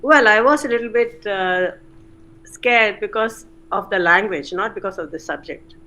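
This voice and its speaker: An older woman, a retired chemistry professor recalling her career in an interview, unhurried with frequent pauses. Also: mid-range voice, sharp tone. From India. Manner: unhurried, many "uh" pauses, self-corrects. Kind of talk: reflective interview answers.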